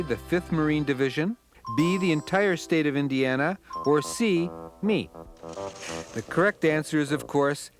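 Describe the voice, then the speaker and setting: Sardonic cartoon cat quiz host played by a man, lazy and deadpan, pitched a bit high, music underneath. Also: lightly acted. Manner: deadpan, wry, put-upon. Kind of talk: cartoon voice acting.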